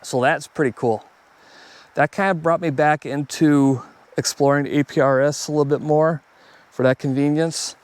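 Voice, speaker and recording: A man's voice: fairly high pitch, steady tone, moderate pace, dry recording. A man talking casually and off the cuff as a hobbyist video host reviewing gear, plainspoken and conversational. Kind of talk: casual unscripted product review.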